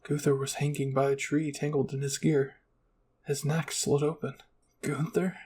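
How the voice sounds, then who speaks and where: A man's voice: medium pitch, monotone, moderate pace, darkish tone, husky. A man narrating a scripted first-person roleplay story.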